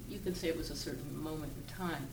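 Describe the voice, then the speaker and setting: Older Southern woman with a Virginia accent, low voice, reflective storyteller, miked from a distance in a roomy, noisy space. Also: fairly even intonation. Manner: reflective, frequent "uh" pauses, laughs easily. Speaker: interviewee. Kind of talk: oral history interview.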